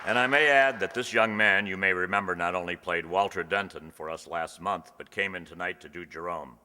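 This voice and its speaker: A man's voice: low voice, a little fast, sharp tone, some chatter behind. A man working as an announcer, giving live thanks to a cast and crew in front of an audience. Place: indoor hall before an audience. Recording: echoey hall, noisy, audience sounds.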